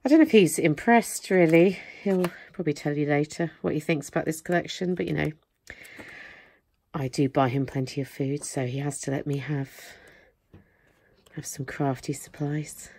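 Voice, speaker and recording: A woman's voice: low voice, normal speed, darkish tone. A woman hosting a craft channel, chatting casually through a product haul while losing her voice. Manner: chatty, rambling with many fillers. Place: indoors at a craft desk. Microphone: camera overhead, hands in frame.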